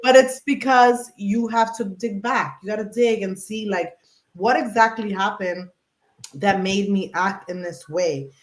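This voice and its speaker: A woman hosting a podcast in casual chat, talking in an animated, emphatic way and explaining with everyday examples. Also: medium pitch, unhurried, echoey room. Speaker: podcast host.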